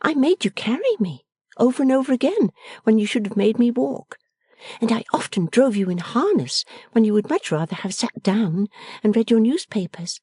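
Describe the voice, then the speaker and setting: A woman's voice: a little high, sing-song, moderate pace, dark tone, breathy edge, dry recording, clean studio sound, pitched above the performer's own voice. A woman reading the part of a playful, affectionate young woman who teases and orders her father about. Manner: teasing, commanding, merry.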